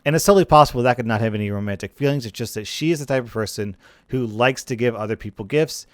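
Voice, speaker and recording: A man's voice: mid-range voice, fast, dry recording. Casual male gaming commentator, dry close mic. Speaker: gaming video host.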